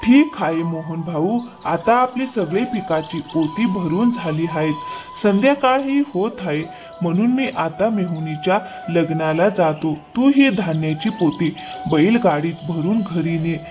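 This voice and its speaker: Man as high-pitched, trusting cartoon farmer. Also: lively intonation, unhurried, over music. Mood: earnest. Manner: earnest, accommodating. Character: trusting, hard-working, loyal male farmer. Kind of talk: cartoon moral-story dialogue.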